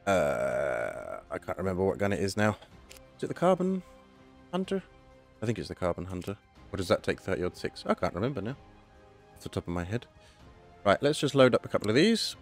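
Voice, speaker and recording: Hoarse guy, lowish voice, rambling gameplay commentary, bit of music behind. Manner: chatty, thinking aloud, self-correcting. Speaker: gaming channel host.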